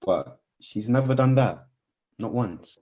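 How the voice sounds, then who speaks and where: A man's voice: mid-range voice, lively intonation, slow, mellow. A man playing a polite, reassuring young family friend in a naturalistic radio drama, with understated, soothing replies.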